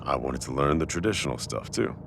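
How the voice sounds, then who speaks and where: A man playing a warm, teasing restaurant owner with a secret crush in a casual, intimate boyfriend audio roleplay. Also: a bass voice, animated, fairly quick, gravelly, background noise, exaggerated voice. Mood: earnest, relaxed.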